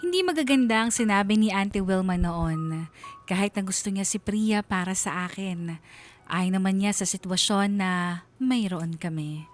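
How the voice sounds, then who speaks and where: A woman's voice: medium pitch, moderate pace. A woman reading a scripted first-person story as a radio narrator. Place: radio studio. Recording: radio studio narration over music.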